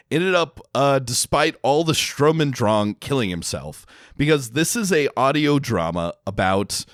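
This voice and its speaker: A man co-hosting a podcast in casual review chat, explaining things in a wry, halting way. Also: mid-range voice, no room sound, studio quality. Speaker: podcast co-host.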